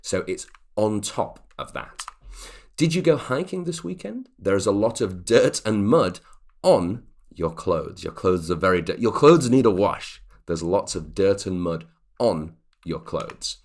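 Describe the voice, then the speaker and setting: A man's voice: low voice, lively intonation, unhurried, a little mellow. A man teaching an online English grammar lesson, explaining patiently and checking in with the viewer as he goes.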